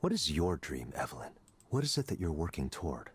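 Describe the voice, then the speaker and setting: A man playing a confident, coldly intellectual tech executive in a visual novel, lecturing in a deliberate, persuasive way. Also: a bass voice, huge pitch swings, husky, full character voice. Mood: persuasive, assured.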